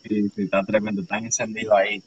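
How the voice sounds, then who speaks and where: A man's voice: lowish voice, completely flat delivery, quick pace, lo-fi sound, a little hiss or hum. A man hosting a church live stream, blessing viewers by name and introducing a young preacher. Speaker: host of an online live interview.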